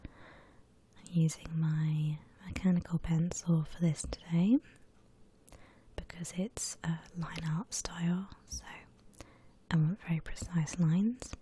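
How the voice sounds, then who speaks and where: Whispering woman, slow ASMR drawing tutorial. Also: on the low side, steady tone. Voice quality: breathy whisper. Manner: gentle, unhurried step-by-step explaining.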